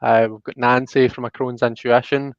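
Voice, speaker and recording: A man's voice: mid-range voice, little pitch movement, moderate pace, dry recording, dull audio. A man hosting a casual live stream in a chatty, informal, friendly way. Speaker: host.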